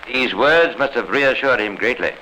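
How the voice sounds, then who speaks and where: A man narrating as a grave, authoritative storyteller in 1950s radio crime drama, formal, dramatic and deliberate. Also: mid-range voice, lively intonation, sharp tone, low-quality recording. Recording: old radio recording with faint noise.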